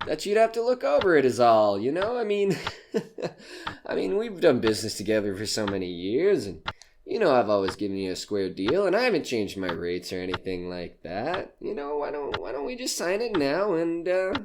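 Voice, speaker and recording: A man's voice: medium pitch, very animated, moderate pace, background noise. A man playing a desperate, nervous small-time comedian in an audio horror drama, stammering and pleading.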